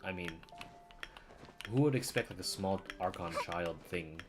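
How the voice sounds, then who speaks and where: A man's voice: sits low, unhurried. A man giving casual livestream commentary on a game, blunt and slangy, with swearing. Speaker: game streamer. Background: game music.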